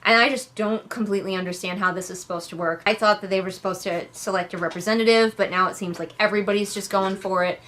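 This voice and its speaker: Woman doing a casual book readalong, kinda sarcastic and exasperated, chatty, normal mid pitch. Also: steady tone, quick pace, hardly any pauses, fairly bright tone. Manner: wry, exasperated, conversational. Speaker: host of a book readalong commentary.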